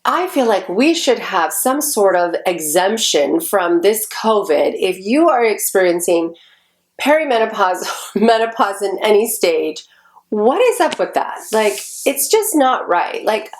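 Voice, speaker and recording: A woman's voice: medium pitch, very animated, a little slow. A woman in her forties, stuffy and congested, chatting casually to the camera in a rambling way with lots of ums. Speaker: vlogger.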